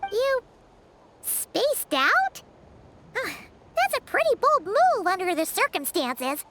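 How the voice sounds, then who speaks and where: A woman voicing a tiny, excitable, chatty fairy companion with a squeaky childlike voice in a video game. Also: takes it slowly, noisy background, heavily acted. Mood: curious, chatty. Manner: refers to self in third person, expressive. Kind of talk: video game character acting. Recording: loud music behind. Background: loud game music.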